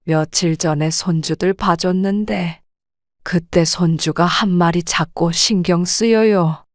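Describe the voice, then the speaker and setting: A woman acting an older widowed grandmother who speaks softly, weary and restrained. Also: fairly low pitch, fairly even intonation, slow pace, dry recording, clean studio sound. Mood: troubled. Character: widowed grandmother, gentle and troubled. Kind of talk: audio drama acting.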